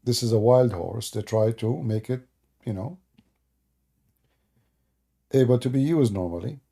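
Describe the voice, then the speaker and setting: Gravelly, dark-voiced man, native Arabic speaker. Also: medium pitch, measured pace. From Middle East. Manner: sarcastic and joking, mocks the chat; broken English grammar. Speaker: live stream host. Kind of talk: casual live stream chat, answering comments.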